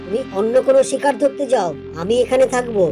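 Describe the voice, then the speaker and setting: A woman voicing a clever, friendly male goat with a coaxing way of talking in an animated folk tale for children. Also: a little high, fast talker, music in the background, natural voice. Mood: coaxing, sly. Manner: coaxing, pleading.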